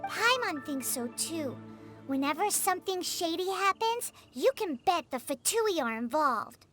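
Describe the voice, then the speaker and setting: A woman voicing a tiny, chirpy, excitable childlike fairy companion in a video game, with a squeaky voice. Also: measured pace, exaggerated voice. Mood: curious. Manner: excitable, exclaiming, refers to self in third person. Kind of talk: video game character acting. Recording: music behind the voice.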